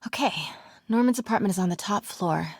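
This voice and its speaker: A curious young woman talks to herself in a video game while sneaking around. Her voice is breathy and slightly husky at an average pitch, recorded dry. She is wry and quick-witted, keeping to hushed self-talk. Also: no room sound. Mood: curious, focused.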